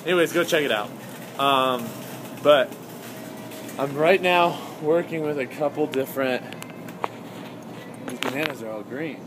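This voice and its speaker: A man's voice: medium pitch, measured pace, pauses often. A young man rambling casually to a camera as he goes about errands, chatty, exclamatory and easily sidetracked. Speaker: vlogger. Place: on the go, car then grocery store. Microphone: handheld camera he sets down. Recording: clear ambient and vehicle noise.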